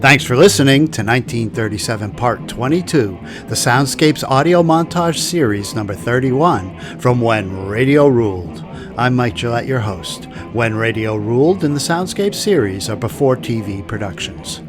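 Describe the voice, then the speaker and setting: Male podcast host over loud music. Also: medium pitch, expressive, normal speed. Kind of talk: scripted sign-off narration.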